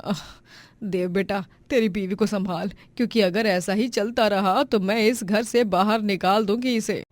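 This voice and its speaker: A woman's voice: medium pitch, steady tone, measured pace, dry recording, close to an everyday voice. Woman acting a stern, bossy mother-in-law. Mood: exasperated. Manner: bossy, scolding. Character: stern, scolding middle-aged Indian mother-in-law. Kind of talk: Hindi moral story voice acting.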